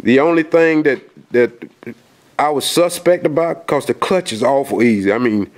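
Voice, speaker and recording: A man with a cold and a nasal voice, filming a folksy, rambling walkthrough of a dark house basement on a handheld camera, with lots of fillers and restarts. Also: mid-range voice, moderate pace. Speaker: outdoors video host. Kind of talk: casual vlog walkthrough.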